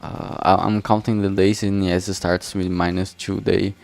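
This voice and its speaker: A man's voice: deep voice, level tone, normal speed, gravelly, darkish tone, soft background music. A man chatting casually on a work stream, thinking aloud hesitantly and often trailing off. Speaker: streamer making a visual novel.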